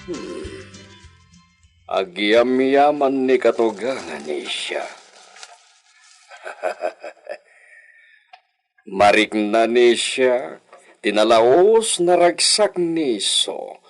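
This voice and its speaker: A proud, sickly gentleman in an Ilocano radio drama. He speaks slowly and haltingly in an Ilocano accent, swinging widely between weak groans and imperious orders. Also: mid-range voice, sing-song, soft background music, heavily acted. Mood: faint, dizzy.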